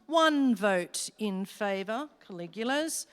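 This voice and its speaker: A woman playing a commanding devil who presides over fiends in a rhyming radio play, speaking deliberately and theatrically. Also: mid-range voice, lively intonation, smooth. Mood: stern, official. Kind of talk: staged radio play acting. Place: live stage in a hall. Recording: echoey hall with long reverb.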